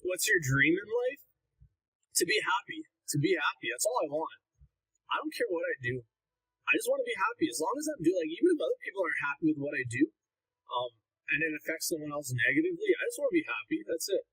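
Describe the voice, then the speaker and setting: A man's voice: a little high, wildly swooping pitch, fast, pushed and bright, slightly rough, rough recording. A young man hosting a casual livestream Q&A. He reads chat questions aloud and answers them bluntly and ramblingly, with plenty of "like" fillers. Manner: blunt, rambling, heavy use of "like".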